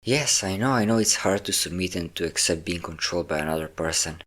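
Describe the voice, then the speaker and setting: A man acts out a centuries-old male vampire with a low-pitched voice, speaking intimately to the one he holds captive. He is possessive yet doting, coaxing with teasing endearments in an audio roleplay. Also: a little fast, fairly bright tone. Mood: coaxing, controlling. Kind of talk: intimate scripted audio roleplay addressed to a listener.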